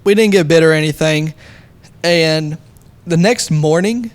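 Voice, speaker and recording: A man's voice: on the high side, measured pace. A young man telling a story casually as a podcast guest, emphatic and given to exaggeration. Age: young adult.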